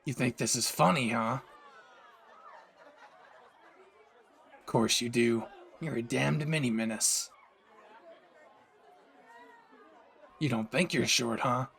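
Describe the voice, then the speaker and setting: A man playing a brash, abrasive young anime pro hero who is secretly doting, gruff and swearing, in an audio roleplay. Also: medium pitch, moderate pace, a bit bright, some chatter behind. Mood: cocky, teasing. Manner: abrasive, swearing, barking, teasing.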